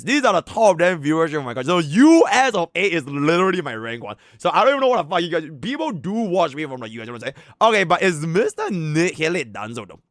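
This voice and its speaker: A man from Singapore, live-streaming casual chat with his viewers, excitable and slangy, swinging between hyped outbursts and low mumbles. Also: dry recording, clean studio sound.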